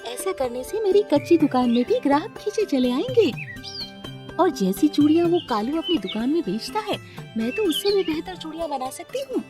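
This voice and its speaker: A very high-pitched, courteous little female bird from a children's cartoon, acted by a woman. She is sweet and earnest and stands up for herself. Her lines swing widely in pitch over clearly audible music. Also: very animated, normal speed. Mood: hopeful musing. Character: sweet, polite, hardworking female bird bangle seller. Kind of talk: children's cartoon story acting.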